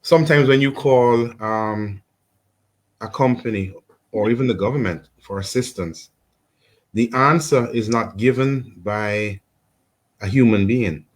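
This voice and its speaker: A man's voice: mid-range voice, a little slow. A male guest explaining technology on the radio, hesitant, with many ums and restarts. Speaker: guest expert on artificial intelligence. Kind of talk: remote radio interview.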